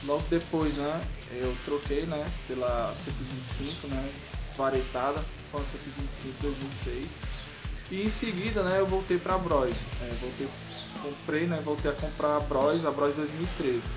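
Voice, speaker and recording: A man, talking casually to camera as a vlogger, in a halting way full of verbal tags. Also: a little high. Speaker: motorcycle vlogger. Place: small room at home, indoors. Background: music.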